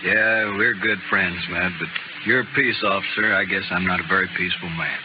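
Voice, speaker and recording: A dull, noisy vintage radio recording carries a man acting as a deadly gentleman gunfighter of the Old West. He speaks low and world-weary, in the manner of 1950s radio drama. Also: fairly even intonation, a little fast, natural voice. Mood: resigned, wry.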